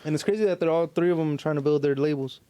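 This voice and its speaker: Smooth, higher-pitched man chatting casually. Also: steady tone, quick pace, warm tone, no room sound. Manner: slangy, profane. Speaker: podcast co-host. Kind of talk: casual chat.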